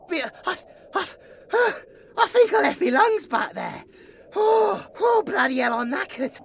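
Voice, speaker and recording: Woman as an animated British bard, cheeky and young. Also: a little high, normal speed, full character voice. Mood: grumbling, cheeky. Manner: animated, colloquial, playful. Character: cheeky, excitable, chatty young female village bard. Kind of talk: fantasy audio roleplay acting.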